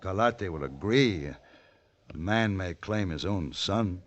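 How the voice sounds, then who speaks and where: An actor playing a calm, principled gunfighter in a vintage radio western, speaking in a measured, firm, articulate way. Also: male voice, deep voice, dull audio. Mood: grave.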